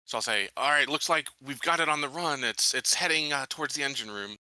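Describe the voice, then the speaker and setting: A man's voice: mid-range voice, fairly quick, sharp tone, dry recording, poor audio. A man talking casually as a player at a tabletop roleplaying game.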